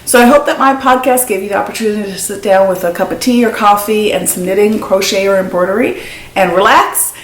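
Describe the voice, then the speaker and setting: A middle-aged woman from Newfoundland with a Newfoundland accent, hosting a knitting podcast and chatting enthusiastically as she shows off craft supplies at home. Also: mid-range voice. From Newfoundland and Labrador, Canada. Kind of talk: casual show-and-tell chat. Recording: echoey room with faint noise.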